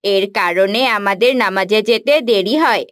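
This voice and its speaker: A woman's voice: medium pitch, a little slow, no room sound, studio quality, close to an everyday voice. A woman playing an earnest, dutiful young child in a cartoon, with a questioning manner. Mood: determined. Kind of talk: cartoon voice acting.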